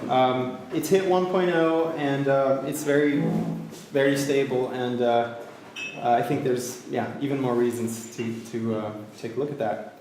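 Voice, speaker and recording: A man from Austria, giving a tech talk to a meetup crowd in English, halting, with many fillers and restarts, and a joking, self-deprecating manner. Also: mid-range voice, steady tone, darkish tone, soft background music. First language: German. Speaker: conference speaker. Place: large echoey hall with a crowd. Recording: very echoey hall, faint noise.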